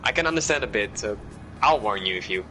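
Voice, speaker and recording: A Dutch-speaking man doing live, chatty commentary on a sim race over a headset, getting excited when the action picks up. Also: lowish voice, quick pace, slight background noise. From Netherlands. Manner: chatty, jokey, rises to excited shouts on action. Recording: live stream with loud music underneath. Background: loud music bed and racing car sounds.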